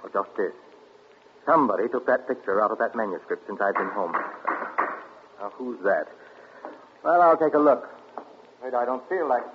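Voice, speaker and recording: An uneasy young husband, played by a man, probing with forced casualness. His voice swings up and down. It comes through a dull, muffled 1940s radio broadcast with steady hiss. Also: mid-range voice, moderate pace, pauses often, natural voice.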